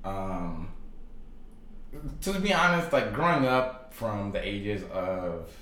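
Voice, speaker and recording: Low-voiced young man, casual and chatty. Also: lively intonation, slow, a bit bright, reverberant. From Tanzania. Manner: casual, filler-heavy, swears and laughs easily. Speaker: podcast guest. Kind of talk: casual interview.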